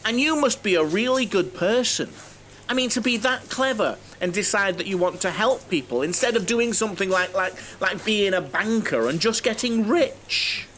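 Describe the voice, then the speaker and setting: A man's voice: high voice, expressive, moderate pace, a bit bright, slight background noise. An actor playing a naive, lonely, chatty middle-aged man with a Northern English accent in an audio play, blunt and earnest, given to comic oversharing. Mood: earnest, pleading.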